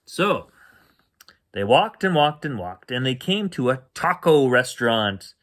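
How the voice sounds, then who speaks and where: A man reading a children's bedtime story aloud as storyteller, with animated delivery. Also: mid-range voice, unhurried.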